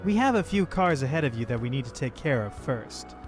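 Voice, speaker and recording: Brisk, busy male mechanic, played by an actor. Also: medium pitch, very animated, lightly acted. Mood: businesslike, apologetic. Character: busy, matter-of-fact male garage mechanic. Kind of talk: visual novel game voice acting. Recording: game dialogue over clear background music.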